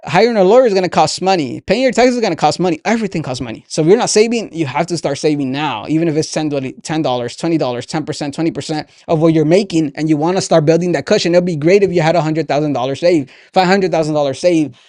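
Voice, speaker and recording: Higher-voiced young man, motivational podcast talk. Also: fast, hardly any pauses, darkish tone, no room sound, studio quality. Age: 20s. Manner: earnest, conversational, frequent "right?" tags. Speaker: podcast host, entrepreneur. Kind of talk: motivational talk, sharing lessons directly to listeners.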